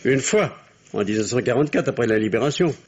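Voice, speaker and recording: Bitter, mocking middle-aged husband, muffled sound. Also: male voice, medium pitch, animated, breathy edge. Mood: mocking, sardonic. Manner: theatrical, sardonic, deliberate articulation. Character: bitter, mocking husband, fallen surgeon who drinks. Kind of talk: old French radio drama acting. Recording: dull, muffled old radio recording.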